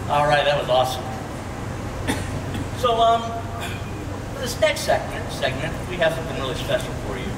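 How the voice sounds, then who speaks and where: A man's voice: a little high, sing-song, normal speed, pauses often, far from the mic, heavy background noise. A man presenting a stage show in a casual, upbeat way, chatting between segments. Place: large dark indoor arena stage. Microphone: stage PA captured by distant audience camera. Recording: echoey arena from afar, loud music and crowd.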